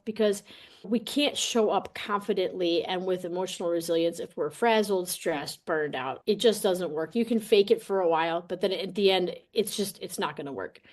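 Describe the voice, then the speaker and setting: A woman speaking as a podcast guest and coach, telling things in a casual, animated, colloquial way. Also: lowish voice. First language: English. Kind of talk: casual interview talk, personal storytelling.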